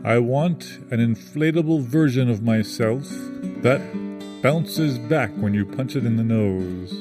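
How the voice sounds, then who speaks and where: A man's voice: medium pitch, measured pace, natural voice. A man playing an entitled, pompous fellow who declaims his demands in an improv comedy. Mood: imperious. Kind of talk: improv comedy audio theatre. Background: guitar.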